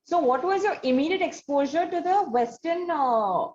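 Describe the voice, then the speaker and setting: A woman's voice: sits high, lively intonation, normal speed, darkish tone. A woman with an Indian accent interviewing conversationally, with wordy, meandering phrasing. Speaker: interview host. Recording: dull, lo-fi connection.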